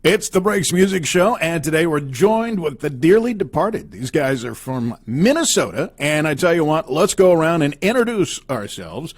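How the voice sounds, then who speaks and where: A man's voice: a little high, very animated, normal speed, few pauses. A man hosting a music show and interviewing a band in a conversational presenter style. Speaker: radio show host.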